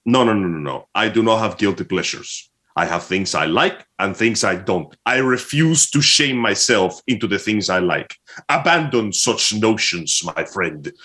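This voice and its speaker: A man's voice: fairly low pitch, animated, moderate pace, sharp tone. A man co-hosting a live stream, joking casually with theatrical, mock-grand phrasing. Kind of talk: casual joking panel chat.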